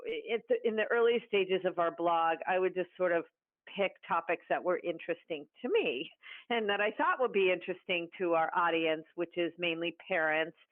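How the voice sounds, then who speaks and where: A woman's voice: fairly low pitch, normal speed, no echo. Woman on a tinny phone line. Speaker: company founder, podcast guest. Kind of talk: conversational podcast interview. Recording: tinny phone-like call audio.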